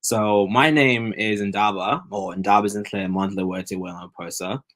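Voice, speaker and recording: A man's voice: lowish voice, steady tone, a little slow, fairly bright tone. A man in his twenties, from Zimbabwe and raised in New Zealand and Australia, telling his life story as a podcast guest in an earnest, talkative way. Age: 20s. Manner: earnest, talkative, with frequent restarts. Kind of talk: casual interview storytelling.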